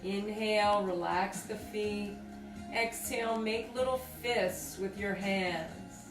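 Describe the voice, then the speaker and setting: A woman leading a guided meditation with slow, deliberate, soothing phrasing and pauses. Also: mid-range voice, steady tone. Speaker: group exercise coordinator leading guided meditation. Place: indoors on a rug by firelight. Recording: roomy, distant mic, music behind.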